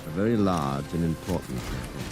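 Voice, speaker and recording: An actor playing a courteous, precise Martian client in a 1950s radio drama, speaking formally in measured phrases. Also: male voice, a bass voice, expressive, loud music behind the voice. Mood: calm, formal. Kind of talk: 1950s science fiction radio acting. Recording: old-time radio transcription with background sound.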